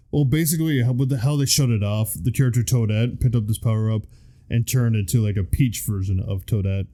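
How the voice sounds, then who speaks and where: A man's voice: medium pitch, mellow. A man in his thirties, a podcast co-host, chatting casually and rambling with lots of fillers. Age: 30s. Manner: rambling, filler-heavy storytelling. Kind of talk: casual chat.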